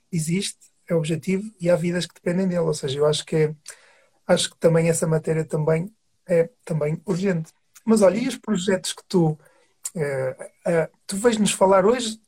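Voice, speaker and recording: Host, husky voice, keeps saying yes and sure, casual chat. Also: mid-range voice, a little mellow. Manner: affirming, brief backchannels. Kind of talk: casual conversation.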